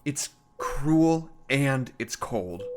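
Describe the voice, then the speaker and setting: An actor playing an earnest, resentful male scientist in an audio drama, confiding in a halting, rambling way. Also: medium pitch, expressive, darkish tone, clear, resonant tone. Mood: earnest, uneasy. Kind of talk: audio drama monologue, naturalistic.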